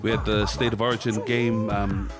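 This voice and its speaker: A man's voice: on the low side, little pitch movement, normal speed, over loud music. A man hosting a casual sports podcast, steadily introducing the show and asking his co-hosts questions. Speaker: host.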